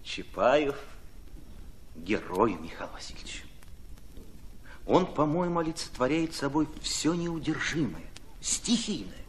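Earnest, ardent commissar, animated. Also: male voice, medium pitch, a little hiss or hum. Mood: earnest admiration. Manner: impassioned, deliberate, rhetorical phrasing. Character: earnest, ardent Red Army commissar reporting to commander. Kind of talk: radio drama acting.

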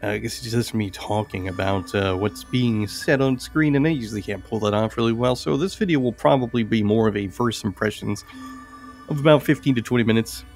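Gravelly man doing casual game commentary. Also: on the low side, a little fast, a little mellow. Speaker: gaming video host. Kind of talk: casual let's-play commentary, reading game dialogue aloud. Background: game music.

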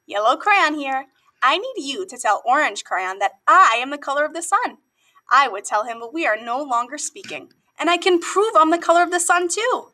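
Woman, thirties, high animated voice, proud boastful storybook character. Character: proud, boastful yellow crayon. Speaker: school staff member reading aloud. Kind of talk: dramatic children's storybook reading.

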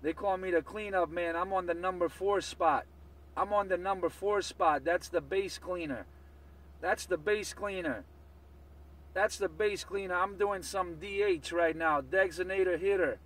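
Man, CB radio operator, slightly high voice, chanting repetitive banter, distant with background hiss. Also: measured pace, a bit bright. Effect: CB radio transmission. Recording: noisy CB radio, distant mic.

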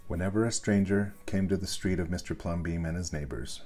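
A man's voice: deep voice, fairly quick, darkish tone, soft background music. A man reading a children's storybook aloud as scripted narration. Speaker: storybook reader.

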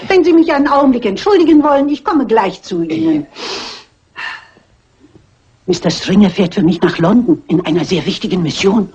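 An elderly amateur lady detective in an old mystery film. Her voice is very husky, her manner brisk, polite and determined. The soundtrack is slightly dull and muffled. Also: medium pitch, expressive, a little mellow. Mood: confident, scheming. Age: older. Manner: brisk, polite, self-assured. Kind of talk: dubbed classic mystery film acting.

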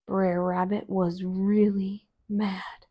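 A woman in her thirties narrating a children's story aloud in an expressive, deliberate way. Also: medium pitch. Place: small living room, on a couch.

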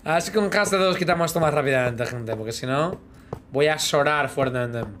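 A man's voice: a little high, fairly quick, a bit bright, a little hiss or hum. A man in his thirties with a Spanish accent from Spain, chatting and joking with viewers on a live game stream, humming sound effects while he plays, on a headset in a small room. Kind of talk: casual live gaming chat.

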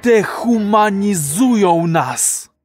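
An actor playing an earnest, rebellious citizen of a dystopian future in a radio play. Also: male voice, high-pitched, lively intonation, drawn-out words, dry recording, clean studio sound, soft background music, exaggerated voice. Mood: fired up. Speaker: actor in an audio drama.

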